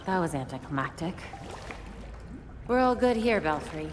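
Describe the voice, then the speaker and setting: A woman's voice: fairly low pitch, expressive, moderate pace, close to an everyday voice. A woman playing a cocky, determined young female vigilante in a video game cutscene, wry and confident. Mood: wry banter. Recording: echoey, loud music, some noise.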